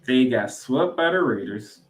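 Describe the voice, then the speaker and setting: A man's voice: mid-range voice, lively intonation, unhurried. A man hosting a sports podcast, giving emphatic, opinionated commentary and game recaps. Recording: roomy, echoing voice pickup.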